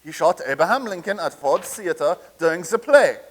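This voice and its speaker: A man's voice: high-pitched, expressive, normal speed. A man in a staged reading playing a pompous, blustering loyalist of a fascist dictator who stammers when challenged.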